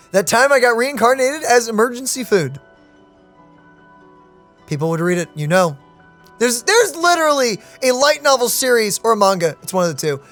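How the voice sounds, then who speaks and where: A woman streaming a game with casual, playful, joking reaction commentary. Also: fairly high pitch. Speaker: gaming streamer.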